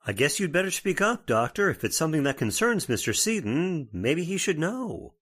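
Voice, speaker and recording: An actor playing a skeptical, folksy small-town sheriff in an old-time radio horror play, plain-spoken and authoritative. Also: male voice, on the high side, big pitch swings, normal speed, a bit bright. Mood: businesslike.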